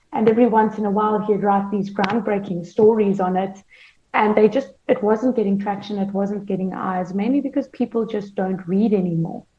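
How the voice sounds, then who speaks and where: A woman explaining her work as an interview guest. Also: mid-range voice. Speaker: podcast producer and manager, interview guest. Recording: roomy, dull lo-fi sound.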